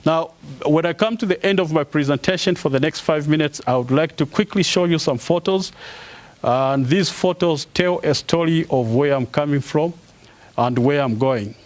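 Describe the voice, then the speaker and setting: A man in his forties from Zambia, giving a formal academic presentation as a professor. He pauses often on "uh" and restarts his phrases. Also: fairly high pitch. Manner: halting, with frequent "uh" pauses and restarts. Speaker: professor giving an inaugural lecture.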